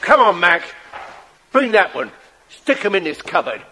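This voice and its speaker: A man playing a spiteful, bossy horse thief in a children's audio drama, rough and menacing in manner. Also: sits high, very animated, a little slow, bright, forceful tone, a little hiss or hum, full character voice. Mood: bossy, scheming.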